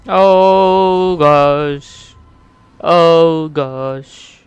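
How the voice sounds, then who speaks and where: A man's voice: a little high, very slow, clean tone, dry recording, highs cut off, faint music behind. A young man with an Indian accent giving casual live-stream gaming commentary into a close microphone.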